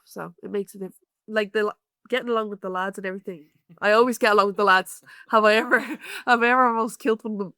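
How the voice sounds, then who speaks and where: A woman's voice: medium pitch, a bit bright, no echo, studio quality. A young woman with an Irish accent, a guest stonemason talking casually and laughing easily, full of fillers. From Ireland. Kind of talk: casual interview chat. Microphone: remote call.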